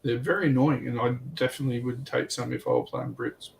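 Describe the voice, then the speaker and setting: A man with a medium-pitched voice talks informally through a wargame he played. His delivery is halting and slangy, with a readiness to mock himself. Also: huge pitch swings, vocal fry, a little hiss or hum. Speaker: tabletop wargame player on a livestream. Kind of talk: casual chat, informal game recap.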